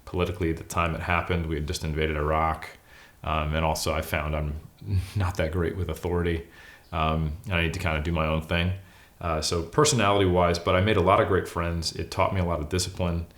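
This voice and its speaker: A man's voice: low-pitched, level tone, brisk, a bit bright. A man of forty with an American accent, talking casually and candidly about himself as an interview guest, with a self-deprecating manner. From California, United States. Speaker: interview guest, bodybuilder and academic. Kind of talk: casual interview, personal storytelling.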